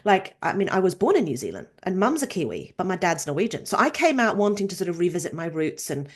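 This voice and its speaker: A woman in her fifties from Norway, a chiropractor and researcher telling her story in a casual, animated interview. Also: lowish voice, fast talker, husky. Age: 50s. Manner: animated storytelling, acts out quoted voices.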